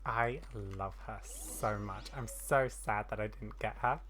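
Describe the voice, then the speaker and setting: A woman's voice: very deep voice, unhurried, a bit bright, a little hiss or hum. A woman doing casual, rambling let's-play commentary as host of a gaming video. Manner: chatty, rambling.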